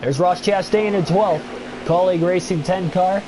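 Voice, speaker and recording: A young man with a stuffy nose talks loosely to his livestream viewers, filling pauses with "uh" and "like" as he goes. His voice sits a little high for a man. A steady hum of noise runs under the home recording. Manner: rambling, thinking aloud with many fillers. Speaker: gaming livestreamer. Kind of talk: casual livestream chat and race commentary.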